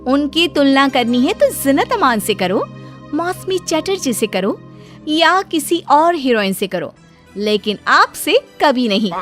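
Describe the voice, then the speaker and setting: High, lively, sharp-tongued young daughter-in-law acted by a woman. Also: unhurried, soft background music. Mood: boastful scorn. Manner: sarcastic, dramatic, argumentative. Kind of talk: cartoon family drama acting.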